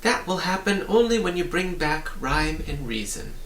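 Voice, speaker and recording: A man's voice: fairly high pitch, animated, normal speed, a bit bright, voice pushed up. A man reading an audiobook, voicing a cheerful, eager boy guide who explains things emphatically and with wonder. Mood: brisk, urging. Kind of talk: audiobook character voice. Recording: roomy, distant, hissy home recording.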